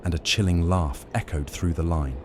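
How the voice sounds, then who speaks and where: A man narrating a scripted ghost story in a slow, ominous storytelling manner. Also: deep voice, a little hiss or hum. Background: faint music.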